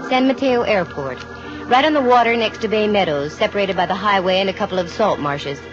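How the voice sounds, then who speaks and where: A woman's voice: mid-range voice, brisk, music in the background, close to an everyday voice. An actress playing a witty, flirtatious female private detective in a 1950s radio drama, with a dry, wisecracking delivery. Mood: wry narrating. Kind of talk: 1950s radio drama acting and first-person narration. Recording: muffled, noisy 1950s radio recording.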